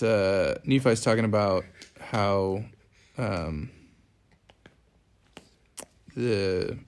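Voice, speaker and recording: Gravelly low-voiced man, halting explainer. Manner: halting, thinking aloud while explaining. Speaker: family scripture reading host. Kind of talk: casual scripture discussion.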